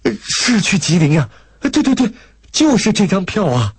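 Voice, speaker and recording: A man's voice: high-pitched, huge pitch swings, normal speed, darkish tone, husky, voice pushed up, exaggerated voice. A man voicing a flustered middle-aged rural woman from in an audiobook story, exclaiming with worry or gratitude. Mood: delighted, grateful. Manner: flustered, exclaiming, effusively grateful. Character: distraught middle-aged rural Shandong woman who lost her ticket.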